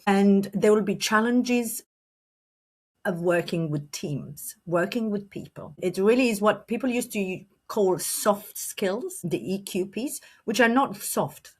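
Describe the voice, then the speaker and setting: A woman from Romania speaks in a slightly low, steady voice. She answers interview questions fluently, with many small restarts and fillers. A slight cough sits in her speech. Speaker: leadership coach and guest.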